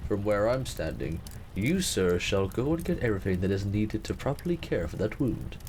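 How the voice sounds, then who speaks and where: Guy playing a regal king, low voice, formal, fond and bossy, noisy background, audio drama. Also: sing-song, normal speed, darkish tone. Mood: commanding. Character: protective, commanding king, teasing but fond cousin. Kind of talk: romantic period audio drama acting.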